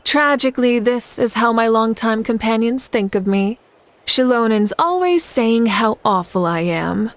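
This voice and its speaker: An actress voicing a confident, composed female leader in a video game, speaking in an assured, measured way with dry playfulness. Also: on the high side, expressive, a little hiss or hum. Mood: dryly teasing.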